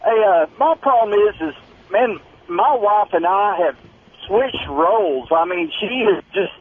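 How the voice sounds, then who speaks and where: A man's voice: sits high, lively intonation, a little slow, slight background noise. An American man from Tennessee phoning a radio show, confiding casually as a caller. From Tennessee, United States. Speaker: phone-in caller. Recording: tinny phone line into radio show.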